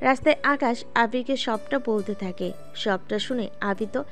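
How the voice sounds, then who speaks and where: Female narrator for an audio drama, plain storytelling, slightly high voice. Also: moderate pace, a bit bright, soft background music. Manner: plain storytelling, addresses listeners.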